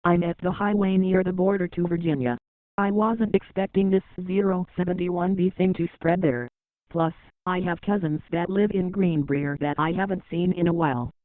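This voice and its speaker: Guy playing a worried spouse on the phone, high voice, tinny line. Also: fairly even intonation, moderate pace, no echo. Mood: uneasy. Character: anxious spouse stuck in traffic on phone. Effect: phone filter. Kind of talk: amateur audio drama acting.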